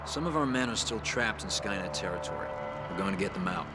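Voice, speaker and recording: Determined resistance commander, low and matter-of-fact, game cutscene, heavy vehicle noise. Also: male voice, very animated, fast talker. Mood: resolute. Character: calm, determined male resistance soldier leader. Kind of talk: video game cutscene acting.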